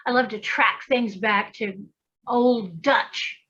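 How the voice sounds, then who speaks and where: High-voiced woman on a muffled call. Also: slow pace. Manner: animated, acts out imagined voices in asides. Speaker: guest. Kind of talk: casual conversation. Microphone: video call.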